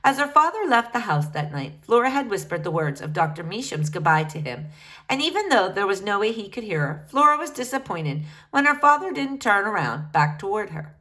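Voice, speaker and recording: A woman reads a children's novel aloud in a middle-pitched voice. Her intonation swings widely from line to line, and she lifts or drops her voice to give each character their own sound. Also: sing-song, a little fast, sharp tone. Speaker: reader.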